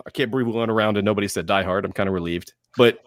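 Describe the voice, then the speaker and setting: A man's voice: lowish voice, racing, no echo, studio quality. A man talking casually as a panelist about pop culture, thinking aloud and often repeating his phrases. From lived in Georgia, US.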